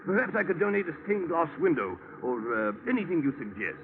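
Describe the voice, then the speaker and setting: Plummy, effusive British gentleman. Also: fairly high pitch, big pitch swings, brisk. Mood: eager, obliging. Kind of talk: 1940s radio acting. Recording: tinny, noisy vintage radio recording.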